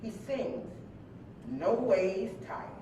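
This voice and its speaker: A woman's voice: medium pitch, big pitch swings, extremely slow. A woman reading scripted stage directions aloud as narrator at a live staged reading. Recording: very echoey hall, distant mic, noisy.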